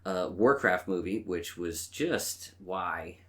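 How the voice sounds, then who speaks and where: Deep-voiced man chatting casually. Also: animated, takes it slowly, a bit bright. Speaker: podcast co-host. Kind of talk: casual chat.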